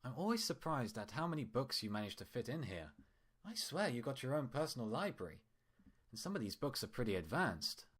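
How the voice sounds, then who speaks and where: Storybook pony doctor, male, played by a man: chatty, falsely gentle, patronizing, pitched up for bedside cheer. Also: sing-song, fairly quick, slightly rough. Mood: falsely cheerful. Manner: patronizing, chatty, over-soothing.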